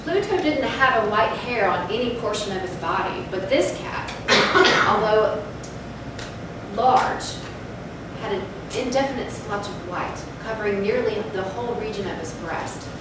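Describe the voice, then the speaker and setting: A woman's voice: animated, measured pace, lightly acted. Woman as an uneasy storyteller in a cavernous echo. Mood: uneasy, intent. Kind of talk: dramatic readers-theatre recitation of a gothic story. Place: live theatre stage. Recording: very echoey stage, distant mic, noisy rumble.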